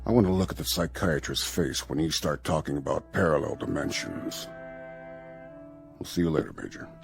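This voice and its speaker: A general used to giving orders, in a radio drama. His deep voice is curt, authoritative and skeptical, with faint music behind it. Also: moderate pace, vocal fry. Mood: skeptical, firm. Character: skeptical, commanding male air force general.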